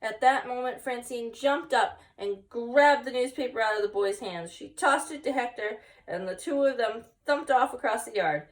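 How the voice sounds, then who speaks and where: A woman's voice: fairly high pitch, normal speed, few pauses, recorded from a distance. A woman reading a children's picture book aloud expressively, with chatty asides to young listeners. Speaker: story time reader, teacher.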